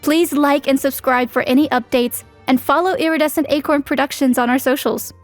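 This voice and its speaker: Female series host, high voice, scripted announcement over music. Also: steady tone, brisk.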